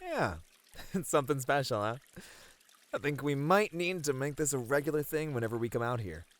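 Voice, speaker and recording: Bashful, lively bunny man, played by a voice actor. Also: mid-range voice, moderate pace, close to an everyday voice. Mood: relaxed. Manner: hesitant, bashful, earnest. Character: friendly, easily flustered bunny man, an esports manager. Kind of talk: ASMR roleplay. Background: pouring, trickling water.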